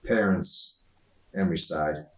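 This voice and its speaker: A man's voice: deep voice, takes it slowly. A man reading his own novel aloud as scripted audiobook narration. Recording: roomy echo, distant microphone.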